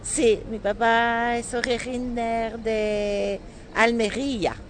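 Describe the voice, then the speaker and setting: A woman with a French accent gives casual interview answers at a slow pace. As a native French speaker, she halts and searches for words. Clear ambient noise runs behind her voice. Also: a little high, little pitch movement. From France. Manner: halting, searching for words in non-native Spanish. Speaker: interview guest, entrepreneur and bit-part actress.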